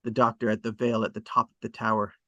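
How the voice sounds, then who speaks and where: A man's voice: fairly low pitch, flat delivery, fast talker, bright, forceful tone, no room sound, highs cut off. A man reading out scene directions as scripted narration at a drama table read. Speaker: reader of stage directions.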